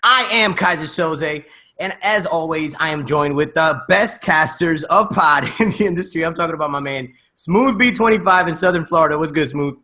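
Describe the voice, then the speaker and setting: A man's voice: fairly high pitch, normal speed, bright, forceful tone. A man hosting a casual podcast in slangy, emphatic hype-man banter. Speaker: podcast host.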